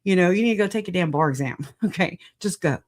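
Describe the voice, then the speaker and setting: A livestream host with a raspy voice, hoarse after a recent illness, chatting casually and laughing a lot at themselves. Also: mid-range voice, lively intonation, quick pace. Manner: laughs often, self-deprecating, chatty. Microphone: computer mic on streaming software.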